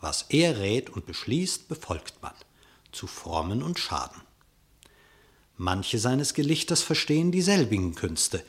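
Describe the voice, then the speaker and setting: A man narrating a classic radio play in verse, in an expressive, declamatory storytelling manner. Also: medium pitch, a little slow.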